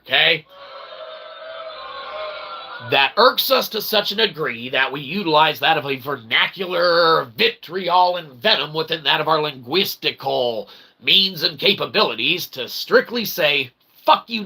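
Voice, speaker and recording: A man delivers an opinionated monologue in a somewhat high, piercingly bright voice with a lot of gravelly fry. He stacks up grandiose, pseudo-scholarly phrases and slang, landing them with emphatic check-ins. There is faint noise behind him. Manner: emphatic, verbose mock-academic phrasing mixed with slang; punctuates statements with "okay?" and "all right". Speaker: channel host, self-published author. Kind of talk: opinionated rant to viewers.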